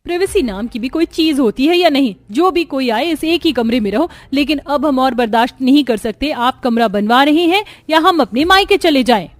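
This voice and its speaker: A woman's voice: sits high, lively intonation, moderate pace, few pauses, dry recording. A woman voicing indignant, bickering women of a family in a Hindi cartoon story, in a dramatic, scolding manner. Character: bickering, indignant young Indian daughters-in-law and scolding mother-in-law.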